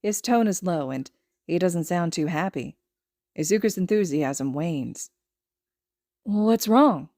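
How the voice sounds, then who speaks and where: A woman reads fiction aloud as a podfic narrator. Her voice sits a little low, and her delivery is scripted and expressive. The sound is very dry, with no room on it. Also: a little slow, no echo.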